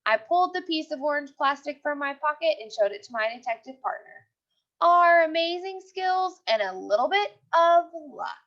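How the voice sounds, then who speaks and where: A woman's voice: sits high, lively intonation, normal speed. A woman teacher reading a story aloud and questioning pupils in an instructional way, often repeating her phrases. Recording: dull lo-fi audio.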